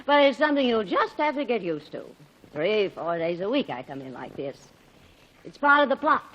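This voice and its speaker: A slurring, sarcastic fading stage actress; lively 1940s radio delivery, muffled old broadcast. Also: female voice, medium pitch, moderate pace. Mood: tipsy, sarcastic. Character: tipsy fading stage actress, bitter and mocking.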